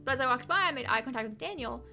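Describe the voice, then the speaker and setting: A woman in her twenties chatting on a livestream, telling excited stories in a casual, rambling way. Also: high voice, very fast, faint music behind. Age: 20s.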